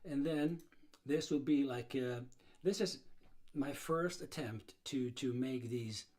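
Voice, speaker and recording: A man's voice: mid-range voice, takes it slowly, darkish tone. A middle-aged man from Serbia, hosting a blues guitar livestream in a casual, rambling way with many fillers and restarts.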